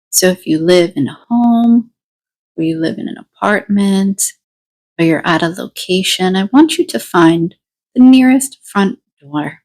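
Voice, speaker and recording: A woman leading a guided meditation, giving deliberate instructions in short phrases with pauses between them. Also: medium pitch, darkish tone, low-quality recording.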